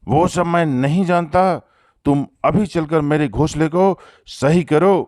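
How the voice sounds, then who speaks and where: A man's voice: a little high, measured pace, darkish tone, dry recording, studio quality, natural voice. A man playing a bossy male hawk in a cartoon story, with a theatrical, demanding manner. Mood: angry, demanding. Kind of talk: Hindi cartoon story voice acting.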